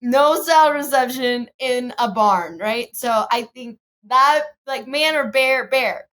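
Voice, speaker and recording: A woman's voice: sits high, slow pace, a bit bright. Laid-back young woman, laughs easily. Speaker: podcast co-host. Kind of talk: casual chat.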